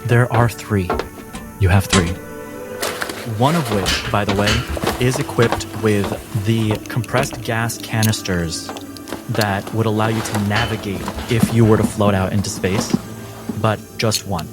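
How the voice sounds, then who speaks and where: A man running a tabletop role-playing game, explaining and narrating deliberately as game master. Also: on the low side, steady tone, over loud music.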